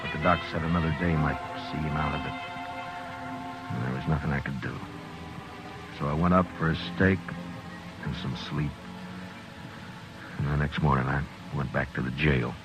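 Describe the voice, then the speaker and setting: An actor playing a tough frontier marshal in an old radio drama, terse and commanding, also narrating. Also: male voice, very deep voice, normal speed, pauses often, over music. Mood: stern. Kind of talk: 1950s radio drama acting. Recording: noisy old radio recording.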